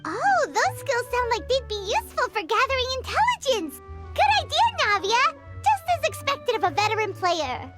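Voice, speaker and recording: A woman voicing a tiny, eager, childlike fairy companion with a squeaky, bubbly delivery in an anime game. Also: wildly swooping pitch, normal speed, full character voice. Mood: curious, upbeat. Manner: bubbly, speaks of self in third person. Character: tiny chirpy childlike floating fairy companion, eager, third-person talker. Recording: children's game music playing loudly behind.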